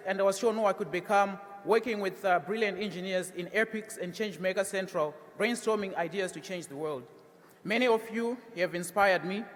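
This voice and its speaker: A young man with a Zimbabwean accent delivers a prepared graduation address. His voice sits quite high for a man and rings with long reverb in a big hall. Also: a bit bright. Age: young adult. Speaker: student commencement speaker. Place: large ceremony hall, at a podium.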